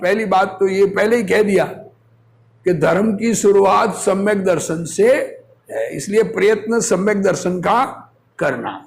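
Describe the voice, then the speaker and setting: This is a man with a notably high-pitched voice delivering a religious discourse. He takes his time, moving slowly between reading and explanation. His manner is that of a teacher, instructive and fond of rhetorical questions. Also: creaky. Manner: didactic, asks rhetorical questions and answers them.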